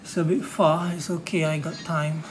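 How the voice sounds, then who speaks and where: A woman giving casual commentary while she plays a game, with loud game music over her voice. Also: low voice, little pitch movement, moderate pace, background noise. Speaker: gamer recording a walkthrough.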